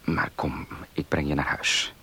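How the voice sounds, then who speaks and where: A man playing a determined, suspicious fiancé in a Dutch radio play, earnest and insistent, with a husky, breathy voice. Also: rumbling low, level tone, measured pace, sharp tone, heavily acted.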